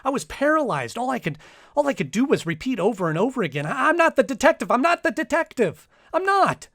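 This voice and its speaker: A man acting a nervous poet in a radio drama, narrating an eerie ordeal in a halting, stammering way. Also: very high-pitched, very animated, a bit bright, no room sound, studio quality, exaggerated voice. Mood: panicked. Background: music.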